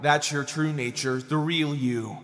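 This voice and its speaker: Man as an intense young soldier, higher-pitched, reverberant. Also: fairly even intonation, measured pace. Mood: stern, accusing. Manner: intense, accusing. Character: righteous, wounded young soldier confronting a betraying friend. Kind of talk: anime fan dub acting. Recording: long reverb over loud music.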